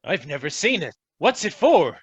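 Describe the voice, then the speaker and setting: A man's voice: a little high, sing-song, moderate pace, husky, dull audio. A man playing an earnest, clueless young boyfriend in a spoof B-movie radio comedy, corny and gee-whiz. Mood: curious.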